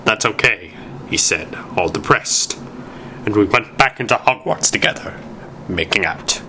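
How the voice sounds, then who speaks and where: A man reading a story aloud as narrator, with deliberate, emphatic, amateur dramatic delivery. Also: lowish voice, expressive, noisy background.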